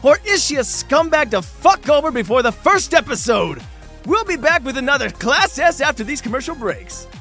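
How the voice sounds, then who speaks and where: A man's voice: very high-pitched, big pitch swings, pushed and bright, faint music behind, exaggerated voice. A man playing a flamboyant, cynical game show host in an audio drama, slick, mocking and theatrically condescending. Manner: theatrical, condescending, drawn-out showy delivery. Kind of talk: bombastic TV game show presenting.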